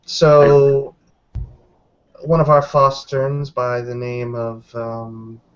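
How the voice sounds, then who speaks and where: Man roleplaying a slow, deliberate werewolf investigator. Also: medium pitch, monotone, smooth. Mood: measured, explanatory. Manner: deliberate, hesitant, self-correcting. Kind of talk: improvised tabletop roleplay. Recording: dull lo-fi voice recording.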